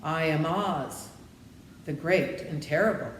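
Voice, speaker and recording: A woman's voice: deep voice, slow pace, echoey room, in a large hall, a little hiss or hum, poor audio. A woman voicing a meek little humbug wizard, a trembling, apologetic man in a storybook reading. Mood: trembling, pleading. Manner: meek, pleading.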